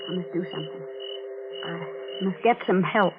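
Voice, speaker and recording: An actress playing a distraught, jealous young woman who killed her sister, speaking in halting, fretful repetitions in 1940s radio style. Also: on the low side, natural voice. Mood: dazed, panicky. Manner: halting, fretful, repeating herself. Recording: tinny band-limited 1940s radio with music.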